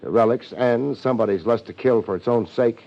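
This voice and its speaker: An actor playing a blunt, wry private detective in 1950s radio drama style, with clipped lines. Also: male voice, mid-range voice, fairly quick, creaky, lightly acted. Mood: brisk, probing. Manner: clipped, hard-boiled, sardonic. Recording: muffled 1950s radio broadcast with music.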